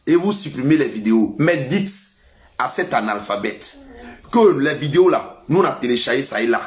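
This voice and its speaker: Ivorian man scolding with a stuffy cold. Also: normal speed. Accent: Ivorian French. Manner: lively, scolding, animated pitch jumps. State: has a cold. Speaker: vlogger. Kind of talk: casual monologue to camera.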